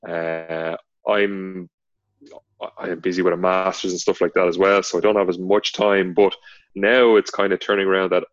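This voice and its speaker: Low, nasal man, muffled. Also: moderate pace, no room sound. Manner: low-key, not very expressive. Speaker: podcast co-host. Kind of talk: casual chat. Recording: dull, lo-fi, dry sound.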